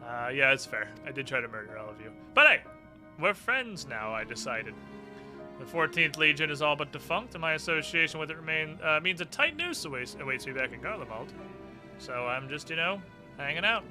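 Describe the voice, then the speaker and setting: A man's voice: a little high, very animated, brisk, music in the background. A man voicing a smug, flippant former military villain turned uneasy ally, paraphrasing game dialogue in a casual, mocking way.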